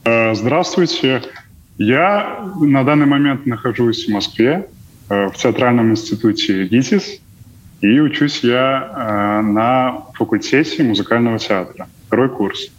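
A man's voice: mid-range voice, a little slow. A young man, a student guest, introducing himself in an interview over a dull, slightly noisy remote link. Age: young adult.

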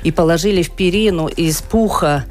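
Low-pitched woman telling a personal story. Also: moderate pace, a little mellow. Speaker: interview guest, asthma and allergy patient. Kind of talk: personal storytelling in a radio interview.

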